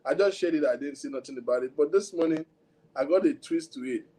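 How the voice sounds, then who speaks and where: Chatty Nigerian man, mellow voice. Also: on the high side, fast, low-quality recording. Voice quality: self-described big voice. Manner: rambling, code-switching, chatty, talks to viewers directly. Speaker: livestream host. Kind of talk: casual livestream chat.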